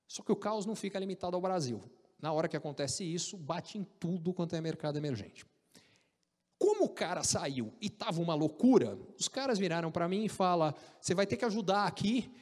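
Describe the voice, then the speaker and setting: A man with a Brazilian Portuguese accent telling an animated, joking story about his career, with frequent restarts. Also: high-pitched, in a large hall. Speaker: speaker recounting career story. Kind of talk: casual storytelling talk.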